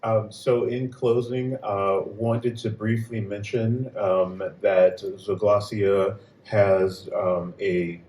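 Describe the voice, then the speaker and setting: Male host, low voice, halting, announcements, distant mic, echoey hall. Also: flat delivery, darkish tone, a little hiss or hum. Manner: halting, many fillers. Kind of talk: closing announcements. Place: venue hall at a live reading.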